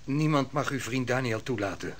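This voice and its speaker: An actor playing a stiff royal steward, speaking in a cool, formal, officious way in a vintage radio drama. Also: male voice, mid-range voice, lively intonation, normal speed, close to an everyday voice.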